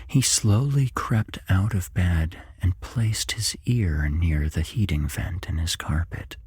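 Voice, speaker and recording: A man narrating a horror story in a hushed, half-whispered ASMR style, measured and deliberate, close on the mic. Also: low-pitched, slightly rough, dry recording.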